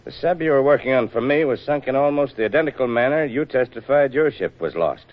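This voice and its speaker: An actor playing a gruff older navy officer, formal and measured, in a 1940s radio drama. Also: male voice, medium pitch, lively intonation, natural voice. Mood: stern, deliberate. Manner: stern, measured, formal delivery. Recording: dull vintage 1940s radio recording.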